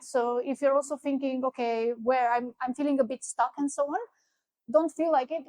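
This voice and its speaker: High-voiced Romanian woman giving a talk. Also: darkish tone, no echo, rough recording. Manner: conversational, with frequent fillers and restarts. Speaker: keynote speaker. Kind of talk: conference talk. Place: conference venue.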